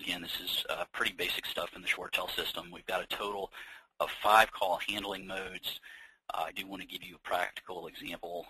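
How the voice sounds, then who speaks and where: An American man leading an informal software training webinar, explaining things conversationally with practical examples. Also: deep voice, husky, dry recording, rough recording. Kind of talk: informal software demonstration, unscripted walkthrough.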